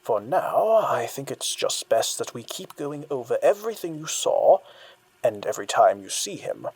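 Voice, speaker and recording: Husky, measured male therapist, played by an actor. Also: medium pitch, very animated, darkish tone, low-quality recording. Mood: measured, reassuring. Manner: deliberate, explanatory. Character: measured, analytical male therapist explaining the mind. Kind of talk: audio drama dialogue acting.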